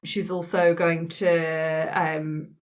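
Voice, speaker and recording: A woman's voice: low-pitched, flat delivery, drawn-out words. A woman improvising casually as a player in a tabletop roleplaying game. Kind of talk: casual in-character improvised chat.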